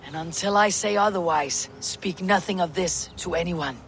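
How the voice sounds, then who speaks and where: An actress playing a stoic, determined Viking warrior woman in a video game, terse, controlled and measured. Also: lowish voice, a bit bright, slight background noise. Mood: questioning, calm. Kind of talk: video game character acting.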